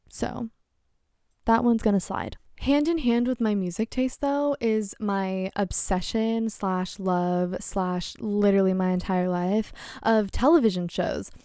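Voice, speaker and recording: A woman in her twenties hosting her own podcast, chatting casually on her own with an excitable, candid manner, laughing often and swearing freely. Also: medium pitch, clean tone, no echo, clean studio sound. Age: 20s. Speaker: podcast host. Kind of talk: casual solo chat.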